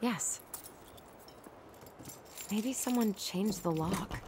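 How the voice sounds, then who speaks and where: A woman's voice: medium pitch, extremely slow, background noise. An actress playing a guarded, practical young American woman with dry wit in a video game, delivered naturally and with understatement. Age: young adult. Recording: game cutscene with background music. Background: music.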